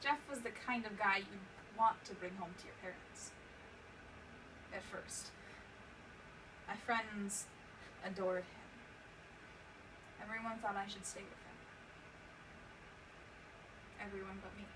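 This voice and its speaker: A woman acting a haunted young survivor of abuse in a dramatic stage monologue, halting and emotional, repeating words for emphasis. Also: mid-range voice, steady tone, natural voice. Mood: wistful, subdued. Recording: distant mic, clearly noisy room recording.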